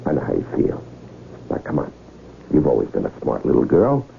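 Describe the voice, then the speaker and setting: An actor in a 1970s radio drama playing a smooth, wry con artist who talks in a coaxing, deliberate way. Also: male voice, very deep voice, huge pitch swings, exaggerated voice. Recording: muffled, hissy 1970s radio broadcast recording.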